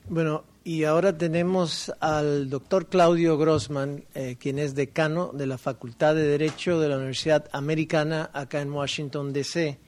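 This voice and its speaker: A man's voice: on the high side, little pitch movement, normal speed, few pauses, a little mellow, slight background noise. A man moderating a conference panel, introducing the next speaker briefly and informally.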